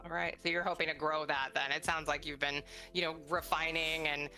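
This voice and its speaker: A woman's voice: deep voice, little pitch movement, fairly quick, bright, forceful tone. A middle-aged woman conducting a casual interview in an encouraging, conversational way. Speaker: interviewer, mother of the creator. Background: music.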